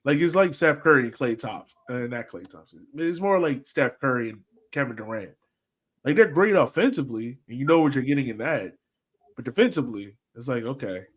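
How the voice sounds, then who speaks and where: A man's voice: mid-range voice, lively intonation, fairly quick. A man hosting a casual sports podcast, talking informally and thinking aloud as he rambles through his analysis. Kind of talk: casual soccer fan chat and analysis.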